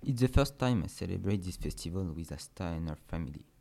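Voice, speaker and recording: A man's voice: sits low, lively intonation, fast, creaky, mellow. A man guest on a podcast, giving plain, matter-of-fact answers.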